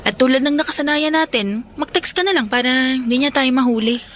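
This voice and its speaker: A woman's voice: high voice, fast talker, a bit bright. A woman playing a torn, pleading young woman in a radio drama, with a Filipino accent and a coaxing manner. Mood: hesitant, coaxing. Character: torn, pleading young woman, best friend in love elsewhere.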